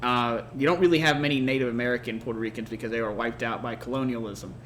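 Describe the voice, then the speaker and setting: A man's voice: mid-range voice, steady tone, fast, fairly bright tone. Earnest man hosting a chat podcast. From Mexico. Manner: earnest, thoughtful, many filler words. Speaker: podcast co-host. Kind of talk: casual unscripted conversation. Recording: faint background noise.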